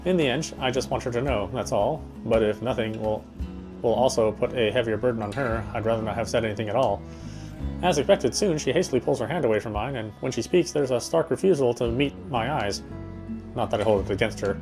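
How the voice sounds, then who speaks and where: A man's voice: mid-range voice, brisk, natural voice. An actor playing a tender, self-deprecating young man in a visual novel, introspective and earnest, with guitar music behind him. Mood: hesitant, resigned. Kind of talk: first-person visual novel narration and dialogue.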